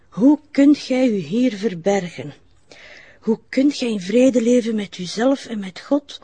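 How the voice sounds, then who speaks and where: Slow, husky nun. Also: female voice, medium pitch, warm tone, no room sound, exaggerated voice. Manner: solemn, commanding, slipping into French. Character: devout, authoritative French-speaking convent nun. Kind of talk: audio drama acting.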